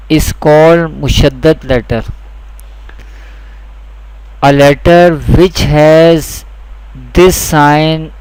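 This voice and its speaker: Slow, clear-voiced male teacher. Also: on the high side, a little mellow, no room sound, low-quality recording. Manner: deliberate, repeats each point twice. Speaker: Quran teacher. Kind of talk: slow, repetitive instructional lesson.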